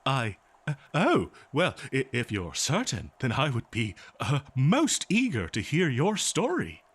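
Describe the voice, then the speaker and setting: A man playing an eager, kindly scholar in an audio roleplay, speaking politely and haltingly to a listener. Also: medium pitch, wildly swooping pitch, breathy edge, full character voice. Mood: humbled, gentle. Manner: halting, flustered, polite, exclaiming. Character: eager, scholarly, kindly male researcher of a lost species. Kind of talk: scripted audio roleplay, one-sided dialogue.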